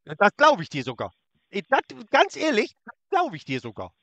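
A man with a very high voice mocks a scammer on the phone, taunting and throwing insults while he talks over him. His tone is bright and sharp. The sound is close and dry. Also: wildly swooping pitch, brisk, dry recording. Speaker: prank caller baiting a scammer. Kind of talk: mocking phone prank.

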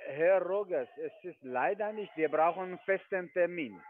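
A man's voice: high-pitched, expressive, moderate pace, a little hiss or hum. A half-Italian man working as a trading firm agent, pushing insistently in broken German over the phone. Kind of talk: phone call. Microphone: telephone. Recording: tinny phone line.

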